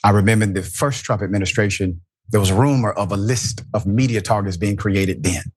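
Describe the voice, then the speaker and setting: Male host, emphatic political commentary, deep-ish voice, dry studio sound. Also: brisk, husky, no room sound. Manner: emphatic, rhetorical questions. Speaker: show host.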